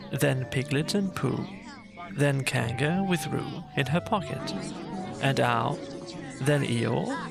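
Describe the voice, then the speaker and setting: Storyteller reading a bedtime audiobook, slow and creaky, music behind. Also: medium pitch. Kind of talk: cosy scripted bedtime narration.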